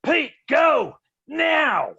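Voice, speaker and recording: A man plays an urgent adventure hero in a table read, high and sharp-edged. The commands are forceful and defiant, swinging in pitch, on a dull lo-fi recording. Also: sing-song, drawn-out words, creaky, dry recording, heavily acted. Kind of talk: screenplay table read acting.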